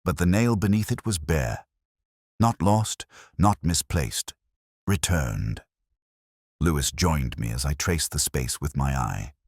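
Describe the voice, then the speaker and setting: A man narrating a first-person detective story in a measured, literary, brooding manner with a husky voice. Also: extremely low pitch, lively intonation, no echo, clean studio sound.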